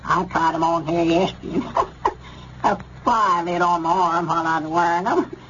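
Man acting a high-voiced folksy old Southern storekeeper. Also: steady tone, normal speed, natural voice. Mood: earnest, chatty. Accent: rural American South. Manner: rambling, folksy, repeats himself. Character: Abner, folksy gullible old country storekeeper. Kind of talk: 1930s radio comedy acting. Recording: dull, noisy 1930s radio recording.

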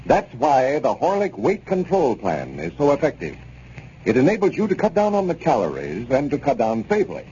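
A dull, faintly noisy vintage radio recording of a male announcer. His mid-range voice is mellow and swings widely in pitch, with polished scripted delivery in 1930s style.

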